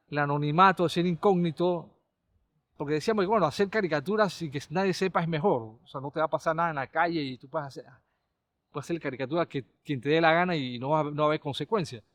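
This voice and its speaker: A middle-aged Panamanian man, a caricaturist and comedy writer, recalling his TV work in a relaxed, rambling way with many restarts. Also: on the high side, no echo. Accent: Panamanian Spanish. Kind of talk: relaxed conversational storytelling.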